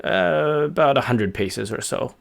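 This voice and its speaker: A man voicing a big, gentle, friendly male wolf in a children's story, amiable and thoughtful in his pauses. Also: medium pitch, lively intonation, creaky. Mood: helpful. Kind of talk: improvised children's story character voice.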